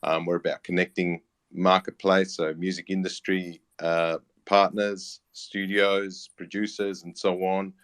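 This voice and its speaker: Low-pitched Australian man, matey and halting. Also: flat delivery, a bit bright, clean tone. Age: late 30s. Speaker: interview guest, music platform founder and teacher. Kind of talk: casual interview talk.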